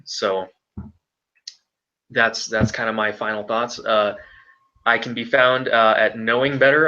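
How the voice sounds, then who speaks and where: A man from Hawaii talks through his view on a podcast, pausing often as he picks his words. His voice is bright and a little tense at a middling pitch. The audio is dull and lo-fi. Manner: measured, hesitant with frequent pauses. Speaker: guest, history video creator. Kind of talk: podcast discussion.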